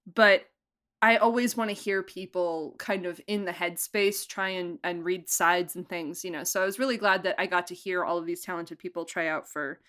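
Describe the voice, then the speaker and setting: A woman's voice: fairly low pitch, fairly even intonation, normal speed, a bit bright, smooth. Enthusiastic giggly woman chatting. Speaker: audio drama director and producer being interviewed. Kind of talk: casual interview chat.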